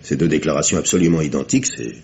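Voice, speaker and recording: An actor playing an eager, deferential police assistant in a 1950s-style French radio drama. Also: male voice, rumbling low, quick pace, vocal fry, a little mellow, a little hiss or hum. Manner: deferential and chatty. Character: eager, superstitious young male police assistant. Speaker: police inspector. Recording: dull old lo-fi radio recording.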